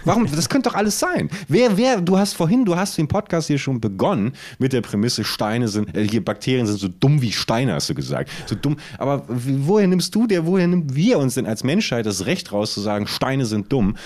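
Male podcast co-host, very animated intonation, casual comic banter, mock-indignant and rambling. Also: medium pitch, a little fast, few pauses.

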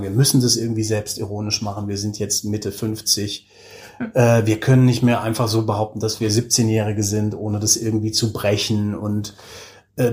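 A man with a mid-pitched voice talks as a guest in a relaxed interview. He tells things in an anecdotal, colloquial way, with a self-deprecating touch. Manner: colloquial, self-deprecating, acts out quoted remarks. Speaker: interview guest, audio drama director. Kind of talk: casual interview talk, anecdotal.

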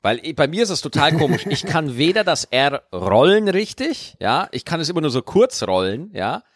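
A young man in his twenties with a lively, swooping voice. He trades playful, teasing banter in casual chat, recorded dry and close. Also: a little high, moderate pace, no room sound.

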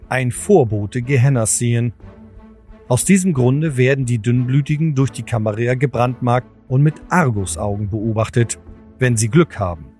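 A man's voice: mid-range voice, darkish tone. A man reading scripted narration for an audio drama in the role of storyteller. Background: clear background music.

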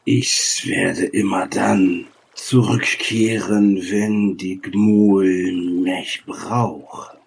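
An ancient reptilian sage from a fantasy tabletop game. He speaks extremely slowly and pompously, in a husky, creaking voice. He sounds arrogant and weary of the world. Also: low-pitched, steady tone, pitched below the performer's own voice, full character voice, rough recording. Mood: weary, solemn. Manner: slow, drawn-out, pompous delivery. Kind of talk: in-character tabletop roleplaying.

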